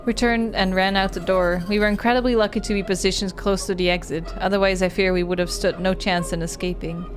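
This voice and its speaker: A woman's voice: mid-range voice, steady tone, fairly quick, music in the background, lightly acted. A woman playing an earnest horror-game protagonist, reading the narration in a dramatic storytelling manner. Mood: fearful urgency.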